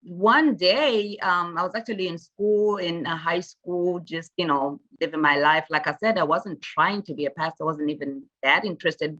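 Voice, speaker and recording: A woman, a minister speaking earnestly and reflectively as a podcast guest, laughing easily and pausing on fillers. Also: fairly low pitch, bright, forceful tone, dull audio. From Zimbabwe. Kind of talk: casual testimony in an interview.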